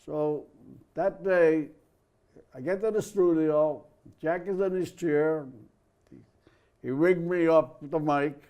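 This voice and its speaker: An older man with an Irish accent, a guest in a TV studio telling lively anecdotes and acting out the voices of people he quotes. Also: on the high side, slow, long pauses. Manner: lively storyteller who acts out quoted voices. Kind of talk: anecdotal storytelling.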